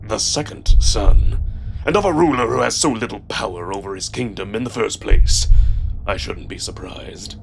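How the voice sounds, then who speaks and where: A man voicing an arrogant old wolf lord, speaking slowly in a contemptuous, menacing snarl, in a visual novel performance. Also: lowish voice, vocal fry, a little hiss or hum, poor audio. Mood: snarling contempt. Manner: slow, deliberate, snarling delivery.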